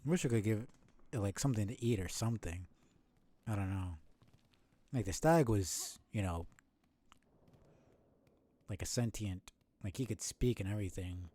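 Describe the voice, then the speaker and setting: A man's voice: on the low side, moderate pace, pauses often, mellow. A man streaming a game live, thinking aloud casually, often correcting himself and swearing mildly. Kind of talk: casual live gameplay commentary.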